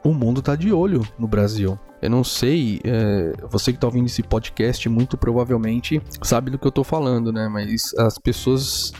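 A man's voice: medium pitch, moderate pace, hardly any pauses, darkish tone, soft background music. A man from Brazil with a Brazilian Portuguese accent, hosting a solo podcast in a chatty, rambling way and tagging sentences with "né?". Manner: chatty, rambling, frequent "né?" tags. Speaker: podcast host. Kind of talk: casual solo podcast monologue. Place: home in a small town.

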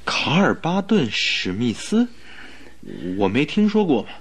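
An anxious, high-voiced loyal friend. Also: male voice, sing-song, a little slow, slightly rough, exaggerated voice. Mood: concerned. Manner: earnest, exclamatory, fretful. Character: anxious, loyal male friend of a sick detective. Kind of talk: radio drama acting.

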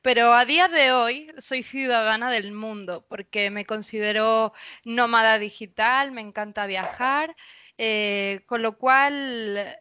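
A woman in her thirties with a Spain Spanish accent, a podcast guest talking casually and thoughtfully, pausing often with fillers. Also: on the high side, pushed and bright, clean tone, no echo, lo-fi sound. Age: 30s. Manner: thoughtful, pauses with frequent fillers. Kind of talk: casual interview talk.

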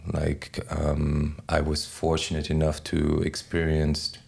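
A man in his forties with a German accent, giving halting, reflective answers as an interview guest, often searching for words. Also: very deep voice, monotone, vocal fry, a little mellow. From Berlin, Germany. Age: 40s. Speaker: podcast guest, DJ.

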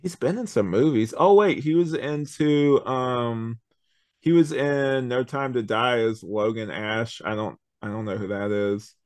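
A man's voice: medium pitch, unhurried, a little mellow, no room sound. A man hosting a podcast, chatting casually about a review and rambling as he thinks aloud. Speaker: podcast host.

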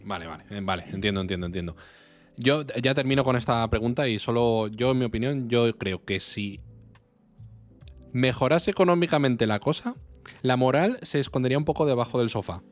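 A man's voice: medium pitch, fairly quick, soft background music. Probing podcast man from Spain. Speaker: podcast co-host. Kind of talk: casual conversational debate.

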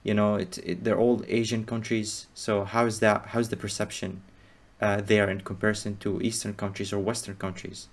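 A man's voice: lowish voice, little pitch movement, normal speed, few pauses. A man hosting a casual chat, asking long rambling questions full of fillers. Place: Qatar.

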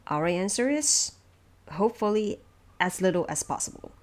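A woman presenting explanatory production notes from a script in a measured, instructive way. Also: medium pitch, big pitch swings. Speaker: audio drama producer and presenter.

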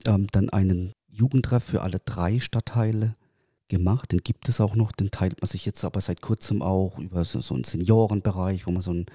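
A man from Kirrlach in Germany hosting a casual podcast interview, asking questions in a relaxed, conversational way. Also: sits low, brisk, husky, dry recording, studio quality. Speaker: podcast host.

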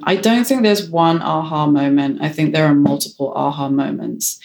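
A woman director and photographer answering interview questions in a frank, decisive way, with a strong voice. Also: sits low. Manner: decisive, frank, self-assured.

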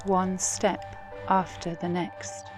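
An actress playing an earnest, hopeful present-day activist mother, giving a measured, idealistic, poetic monologue in an audio drama. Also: on the low side, little pitch movement, a little mellow. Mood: quiet, hopeful. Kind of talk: reflective first-person monologue in audio drama. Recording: music underneath.

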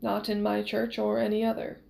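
A woman voicing a wry young male hero, soft and measured, with quiet menace. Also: mid-range voice, monotone, creaky. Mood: calm defiance.

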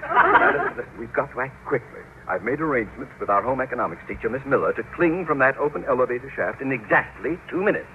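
A man's voice: mid-range voice, big pitch swings, fast talker, slight background noise, close to an everyday voice. Man playing a pompous scheming principal, tinny old radio. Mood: urgent scheming. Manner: pompous, self-important. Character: pompous, scheming middle-aged school principal angling for promotion. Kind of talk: old-time radio sitcom acting.

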